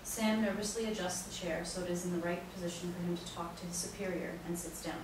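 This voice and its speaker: A woman's voice: lowish voice, fairly even intonation, quick pace. Woman narrator in a very echoey hall. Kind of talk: scripted reading of stage directions. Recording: very echoey hall, distant, noisy.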